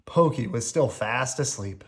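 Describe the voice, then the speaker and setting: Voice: medium pitch, animated, unhurried, gravelly. A storyteller reading a children's picture book aloud expressively, drawing out key words.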